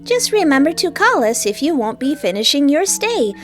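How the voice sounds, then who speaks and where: A woman's voice: high voice, sing-song, moderate pace, soft background music, pitched above the performer's own voice. A woman voicing a cheerful, helpful hotel computer mascot with a chirpy customer-service manner. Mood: chipper.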